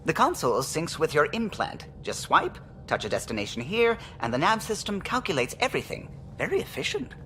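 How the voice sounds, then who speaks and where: An eager alien pilot for a sci-fi game, with a bright, forward voice. The delivery swings lively up and down, courteous and precise, with proud care for the ship. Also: male voice, on the high side, brisk, hardly any pauses, a little hiss or hum. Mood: brisk, precise. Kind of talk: video game voice acting.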